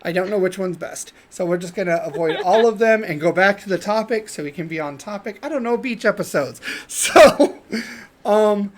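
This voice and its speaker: A man with a higher-pitched voice co-hosting a podcast in casual chat over a video call, rambling and restarting his sentences. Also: lively intonation, normal speed. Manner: rambling, self-interrupting, with repeated fillers. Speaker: podcast co-host.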